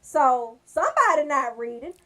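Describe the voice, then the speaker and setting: Woman, American accent, high-pitched voice, radio host, casual banter, slangy, joking. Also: very animated, moderate pace, pushed and bright. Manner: slangy, joking, bantering, addresses listeners directly. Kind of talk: casual chatty radio show promos.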